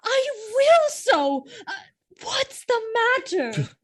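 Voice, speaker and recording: An actress playing an innocent, devoted and frightened young wife in a Shakespearean audio drama, speaking verse in an earnest, pleading way. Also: female voice, extremely high pitch, sing-song, takes it slowly, full character voice. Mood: earnest, defensive.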